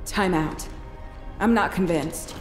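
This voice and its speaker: A woman's voice: lowish voice, slow. An actress voicing a tough, distrustful female soldier in a video game, speaking in a terse, commanding and challenging way. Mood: skeptical. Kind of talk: video game cutscene acting. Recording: echoey hall reverb, music behind.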